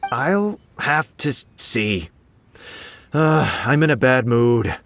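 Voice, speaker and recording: Sulky young rival, blunt and impatient, lively pitch, game music clear behind. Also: male voice, slow pace, no room sound, exaggerated voice. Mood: irritable. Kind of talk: game dialogue.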